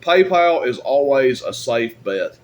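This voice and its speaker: Male, mid-pitched, casual rambling video host, plain-spoken delivery, some background noise. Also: sing-song, slow. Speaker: YouTube host and radio equipment seller. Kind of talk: casual off-the-cuff advice talk.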